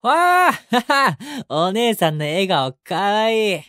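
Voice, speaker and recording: Cheeky, sweet young delinquent, bouncy intonation. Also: male voice, high-pitched, very animated, slow, pushed and bright, dry recording, clean studio sound, exaggerated voice. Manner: sweet, teasing. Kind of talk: romantic Japanese audio drama.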